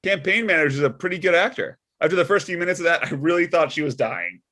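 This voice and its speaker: A man's voice: on the high side, animated, fast talker, sharp tone. A man playing a blunt, stubborn, unbothered father of a political candidate in a comedic table read. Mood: unbothered, needling.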